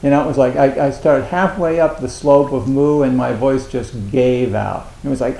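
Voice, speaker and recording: A man giving a dharma talk as a Zen teacher, speaking haltingly with many restarts and a wry, self-mocking humor. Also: medium pitch, gravelly, mellow, roomy sound, a little hiss or hum, low-quality recording. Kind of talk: dharma talk at a meditation retreat.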